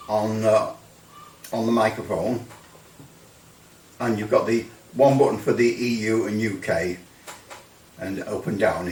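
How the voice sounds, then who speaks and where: A man's voice: on the low side, darkish tone. A man giving a casual, rambling hands-on product review as a hobbyist, hesitating and repeating phrases for emphasis. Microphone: microphone some distance from the speaker. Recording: roomy echo, distant microphone.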